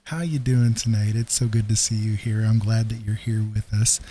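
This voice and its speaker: A man's voice: on the low side, little pitch movement, normal speed, mellow, slight background noise. A man hosting a livestream with casual, hesitant, rambling chat. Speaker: livestream host.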